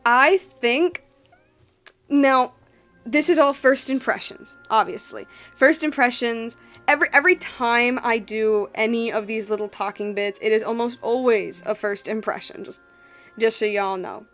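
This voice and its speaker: A woman's voice: fairly high pitch, a little slow, a bit bright, faint music behind. A woman giving casual, rambling gameplay commentary, slangy and informal, repeating herself for emphasis. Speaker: video game streamer.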